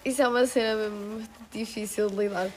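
A woman's voice: fairly high pitch, steady tone, brisk, a little hiss or hum. A young woman with a European Portuguese accent chatting casually and slangily as a student podcast co-host. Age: teens to young adult. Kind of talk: casual group chat podcast.

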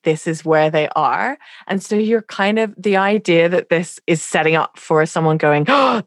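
A woman's voice: lowish voice, dry recording, clean studio sound. A woman co-hosting a book podcast, talking in a casual, hesitant way with many fillers and restarts. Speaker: podcast co-host. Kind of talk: casual literary discussion.

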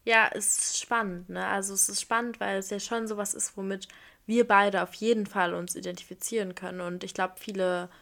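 Creaky-voiced woman podcast co-host. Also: mid-range voice, steady tone, fairly quick, a bit bright. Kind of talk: casual podcast discussion.

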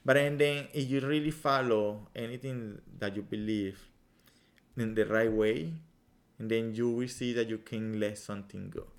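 A man from Venezuela, speaking English with a Venezuelan Spanish accent, earnestly explaining his ideas in halting English with many restarts, chatting as a podcast guest. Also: on the low side, steady tone. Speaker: yoga teacher, podcast guest. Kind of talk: casual podcast chat.

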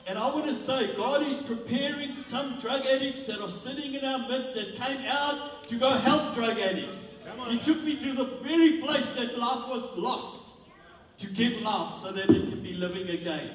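A man's voice: very high-pitched, moderate pace, background noise. A man in his fifties with a South African accent, preaching and telling his testimony in a church, with frequent restarts. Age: 50s. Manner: repetitive restarts, grows more fervent. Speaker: visiting preacher. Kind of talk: sermon with testimony. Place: church hall. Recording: echoey hall, voice from far off.

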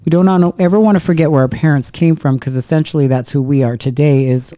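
Middle-aged woman, earnest storyteller, slows for emphasis, warm dark tone, close dry sound. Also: low-pitched, no echo. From Canada. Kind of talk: casual heartfelt conversation.

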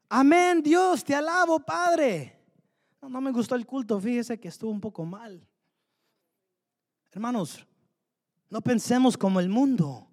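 A man preaching a sermon, fervently asking the congregation rhetorical questions. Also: very high voice. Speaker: pastor. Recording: long hall reverb.

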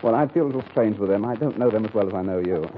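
A man's voice: on the low side, very fast, very noisy, natural voice. An actor playing a mild, naive, genteel man in 1940s radio comedy, polite and prim, on a tinny old recording.

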